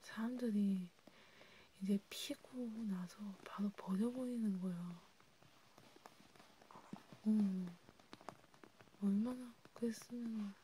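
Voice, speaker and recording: Whispering woman, soft and mellow. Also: mid-range voice, slow, long pauses, rough recording. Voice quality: breathy, mostly unvoiced whisper. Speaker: ASMR roleplay creator. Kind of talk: whispered ASMR casual chat roleplay. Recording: steady background noise.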